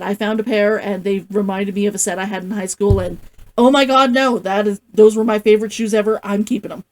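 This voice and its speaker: A woman with an expressive way of talking, hosting a casual read-along as an author. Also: on the high side, rough recording. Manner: giggly, gushing, expressive. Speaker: host, author reading along. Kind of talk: casual livestream chat and novel read-aloud.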